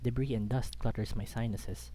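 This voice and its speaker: A man's voice: mid-range voice, fairly even intonation, moderate pace, mellow, a little hiss or hum. A man reading a first-person story aloud as a dramatic narrator. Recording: background music under the voice. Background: music.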